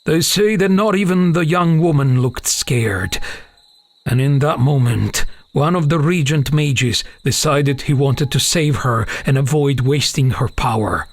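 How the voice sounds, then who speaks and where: A man narrating a scripted fantasy audiobook as a dramatic, measured, solemn storyteller. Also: mid-range voice.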